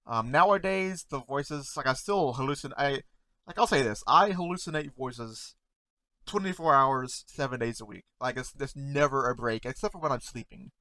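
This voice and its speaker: Man stuttering, halting over words. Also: mid-range voice, bright, forceful tone, dry recording. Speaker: content creator reacting to memes. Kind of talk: casual talk to camera.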